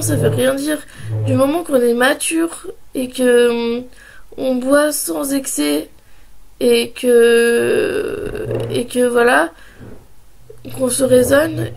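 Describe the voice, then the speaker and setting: A woman in her early twenties, hoarse from a sore throat and a cold, talking in a hesitant casual vlog with many pauses and restarts. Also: high voice, a little hiss or hum. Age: 20s. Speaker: vlogger.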